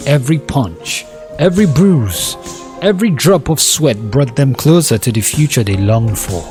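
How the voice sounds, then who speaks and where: Male story narrator: average pitch, dramatic scripted read, backed by music. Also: lively intonation, moderate pace, darkish tone.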